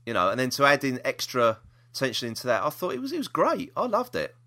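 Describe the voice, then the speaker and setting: Bright-voiced, lively man. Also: mid-range voice, quick pace. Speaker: podcast co-host. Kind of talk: casual review chat about audio dramas.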